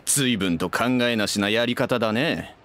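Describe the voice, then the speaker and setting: A man's voice: on the low side, measured pace, fairly bright tone. A man playing a busy, coolly polite young man in an anime game, offhand and dismissive. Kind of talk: Japanese anime game voice acting. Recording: faint background noise and ambience.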